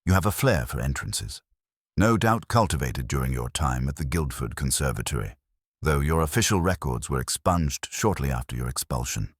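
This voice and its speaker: A man voicing a commanding male detective, formal, measured and deliberate, laying out a case in a dramatised detective audiobook. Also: rumbling low, expressive, slightly rough, no echo, studio quality, exaggerated voice. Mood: measured, confident.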